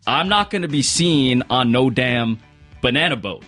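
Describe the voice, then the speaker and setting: A man's voice: mid-range voice, normal speed, soft background music. A man in his thirties, a sports journalist guest telling stories in casual studio talk. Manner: animated, storytelling. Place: radio studio.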